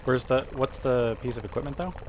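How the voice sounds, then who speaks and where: A man's voice: medium pitch, fairly quick, a little hiss or hum. A man chatting casually as a tabletop game player, given to dry asides.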